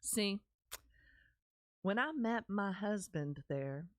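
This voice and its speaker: A woman's voice: medium pitch, lively intonation, takes it slowly, dry recording, clean studio sound. A middle-aged woman with a Texas accent, hosting a podcast and telling her personal story in an earnest, candid way, speaking straight to her listeners. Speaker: podcast host. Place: small podcast studio, indoors. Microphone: studio mic on stand, close, with headphones.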